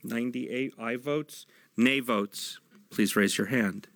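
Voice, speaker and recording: A man with an American accent chairing a meeting, speaking in formal procedural phrases with halting improvised stretches. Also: medium pitch, a bit bright, dry recording.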